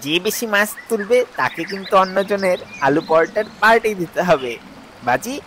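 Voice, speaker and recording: A woman voicing a mischievous, eager young boy in an animated audio story, cheeky, bossy and excitable. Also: mid-range voice, moderate pace, slight background noise, close to an everyday voice. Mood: challenging.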